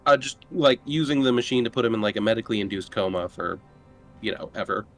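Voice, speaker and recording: A man's voice: fairly low pitch, steady tone, fast, faint music behind. A man playing a tabletop roleplaying game, moving between casual game talk and speaking for his character.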